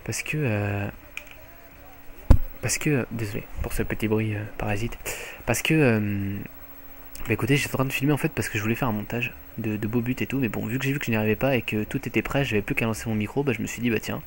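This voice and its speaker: A young man with a French accent giving live commentary on his own online match from home, excitable and jokey, swearing often. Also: fairly low pitch, quick pace, slight background noise. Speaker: gaming commentator. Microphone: headset mic.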